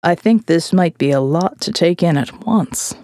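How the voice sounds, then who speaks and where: A woman voicing a gruff man in an audio drama, growling a little as he placates and cautions. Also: expressive, normal speed, mellow, no echo, clean studio sound, natural voice. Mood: placating. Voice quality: low, growling.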